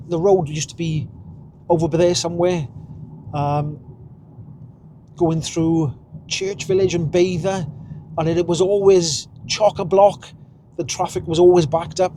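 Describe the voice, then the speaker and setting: Welsh guy driving and chatting away, kinda high voice, chuckles at himself, bit of road noise. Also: dark tone. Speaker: vlogger. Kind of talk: casual driving vlog. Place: inside a car while driving.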